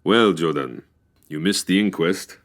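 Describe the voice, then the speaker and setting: An actor playing a dry, unflappable police captain in an old-time radio drama, clipped, formal and sardonic. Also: male voice, low-pitched, measured pace.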